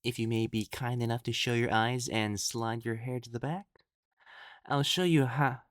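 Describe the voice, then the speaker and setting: A man's voice: medium pitch, fairly even intonation, moderate pace, no room sound, clean studio sound, lightly acted. A man voicing an elegant, effeminate male matchmaker in a scripted audio roleplay, prim and formal, haughty yet easily flustered. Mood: haughty, instructive.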